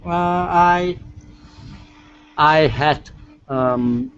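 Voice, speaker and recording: Guy in english class, super slow halting english, lots of ums, muffled call. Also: sits high, very animated, creaky, clean tone. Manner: very halting beginner English, many fillers. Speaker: language student. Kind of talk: casual class chat. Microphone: computer microphone on a video call. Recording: muffled lo-fi online call audio with faint noise.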